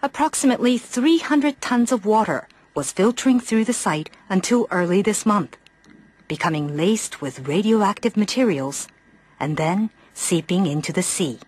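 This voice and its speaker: Female news narrator, medium pitch, slightly rough husky tone, scripted delivery. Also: lively intonation, moderate pace, a little mellow, rough recording. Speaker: news reporter.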